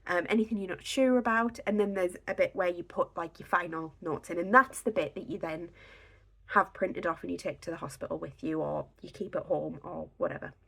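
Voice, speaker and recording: A young woman with a slightly raspy, croaky voice, chatting casually to a camera on a tripod at home, giving pregnancy advice. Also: on the low side, fairly quick, a bit bright. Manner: chatty, filler-heavy, reassuring. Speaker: pregnancy vlogger. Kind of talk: casual instructional talk to camera.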